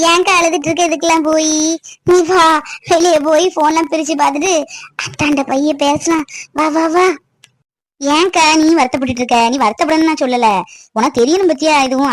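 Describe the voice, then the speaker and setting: A woman's voice: high-pitched, brisk, dry recording, natural voice. Woman acting a protective, insistent middle-aged aunt. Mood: consoling, fussing. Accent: southern Tamil Nadu. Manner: chatty, insistent, colloquial. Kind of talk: acted family drama.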